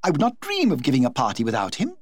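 A man voicing a vivacious forty-year-old society hostess in a high, gushing, theatrical tone. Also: wildly swooping pitch, quick pace, no room sound.

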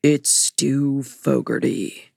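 A woman voicing a brisk, upbeat middle-aged male TV producer with a hearty baritone. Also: steady tone, vocal fry, a little mellow, husky, dry recording, studio quality, heavily acted. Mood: greeting. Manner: businesslike, upbeat. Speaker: audiobook narrator voicing a character.

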